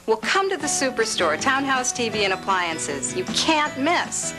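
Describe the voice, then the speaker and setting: Animated high-voiced woman selling over music. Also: measured pace. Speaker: commercial announcer. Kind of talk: retail advertising pitch.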